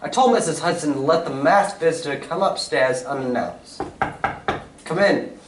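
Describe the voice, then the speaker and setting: A distant, roomy stage recording of a man playing a self-assured detective in an old-style radio play. He is urbane and precise, lecturing with lively swings of pitch. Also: moderate pace, very noisy, natural voice. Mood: composed, knowing. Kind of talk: stage reading of a golden-age radio drama.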